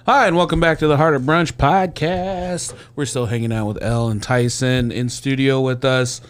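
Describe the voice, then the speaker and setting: A man's voice: mid-range voice, fairly even intonation, normal speed. A man hosting a podcast in a studio, chatting easily and dropping joking asides. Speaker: podcast host. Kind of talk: casual podcast chat.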